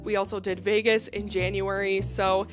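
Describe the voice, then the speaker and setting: A young woman in her twenties chatting casually through a thrift try-on haul, bubbly and full of "like" fillers, speaking into a handheld recorder in a bright room. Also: medium pitch, level tone, normal speed. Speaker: thrift vlogger. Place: bright indoor room with shelf backdrop. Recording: background music under voice. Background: background music.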